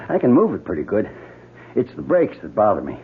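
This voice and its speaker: A man's voice: on the low side, huge pitch swings, normal speed, creaky, full character voice. An actor playing an amiable, informal injured man in old-time radio style. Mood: friendly, rueful. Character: amiable injured man learning to use a wheelchair. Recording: noisy, tinny old radio recording.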